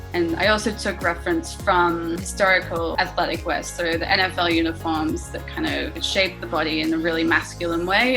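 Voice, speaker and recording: A woman designer from Australia, chatting in an upbeat way with frequent "kind of". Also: on the low side. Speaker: fashion designer.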